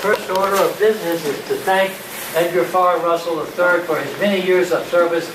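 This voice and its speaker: High-pitched man, informal meeting remarks, distant mic, loud background noise. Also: fairly even intonation, normal speed. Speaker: club member. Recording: echoey room, distant mic, very noisy.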